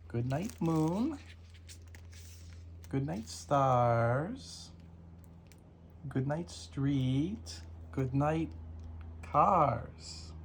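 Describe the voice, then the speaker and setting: A parent reading rhyming picture books aloud to a toddler in a deliberate sing-song rhythm, in a home recording. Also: medium pitch, lively intonation. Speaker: parent reading bedtime stories. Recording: noisy amateur home recording.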